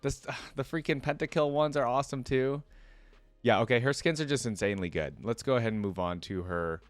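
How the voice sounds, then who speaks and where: A man's voice: medium pitch, brisk, dry recording. A man talking casually and enthusiastically as a reaction video host, commenting and reading text aloud.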